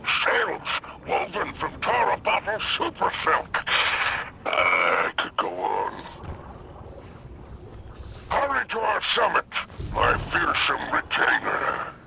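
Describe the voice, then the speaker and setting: A theatrical alien crime lord from a video game, imperious and menacing. The voice is heavily creaky and pitched fairly high, drawing out florid phrases slowly. Music plays behind the speech. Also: male voice, wildly swooping pitch, noisy background, full character voice. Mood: boastful. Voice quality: gravelly. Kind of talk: video game cutscene acting.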